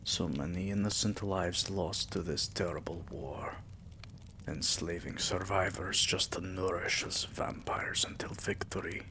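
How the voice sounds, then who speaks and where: Man voicing a deep, slow, tender vampire king. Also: heavily acted. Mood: sorrowful, weary. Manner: slow, hushed, breathy murmur, often dropping to a whisper; regal, doting endearments. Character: tender, possessive immortal vampire king, soothing his beloved pet. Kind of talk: intimate ASMR roleplay acting.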